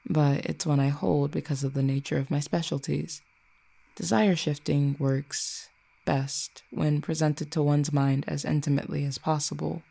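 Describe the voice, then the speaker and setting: A performer voicing an ancient, possessive cupid in an audio roleplay, speaking in a haughty, deliberate, teasingly menacing way. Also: medium pitch, gravelly, mellow. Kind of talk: scripted audio roleplay, acted monologue.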